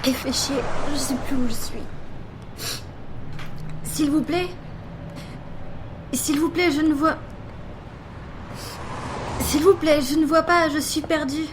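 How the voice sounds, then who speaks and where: A woman's voice: high-pitched, slow pace, long pauses. A woman playing a hesitant, plaintive blind teenage girl in an audio drama. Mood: tearful, lost. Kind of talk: amateur French audio drama. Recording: noisy home recording.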